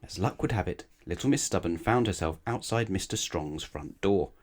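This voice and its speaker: A man reading a children's bedtime story aloud in an expressive storytelling style. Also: deep voice, normal speed. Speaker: storybook reader.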